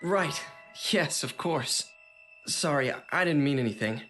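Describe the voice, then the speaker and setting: Earnest teenage boy, lively intonation. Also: on the high side, measured pace, soft background music. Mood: awkward, hesitant. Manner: earnest, hesitant, polite. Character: earnest, friendly, awkward teenage male student. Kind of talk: dubbed anime audio drama acting.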